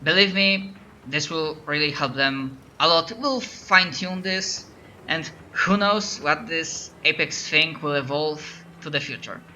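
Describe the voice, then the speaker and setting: A man voicing an eccentric, boastful female chief scientist who talks loudly and gleefully. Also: fairly high pitch, unhurried, lo-fi sound, slight background noise. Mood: gleeful boasting. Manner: gleeful, bragging.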